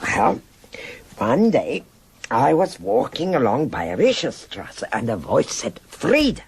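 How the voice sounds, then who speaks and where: A woman's voice: sits low, huge pitch swings, slow, vocal fry, slightly rough, exaggerated voice. An actress playing a worldly, nostalgic old Berlin woman in a radio drama, theatrical and frank as she reminisces. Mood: grave, reminiscing. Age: older. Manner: theatrical, rambling reminiscence with sighs and interjections.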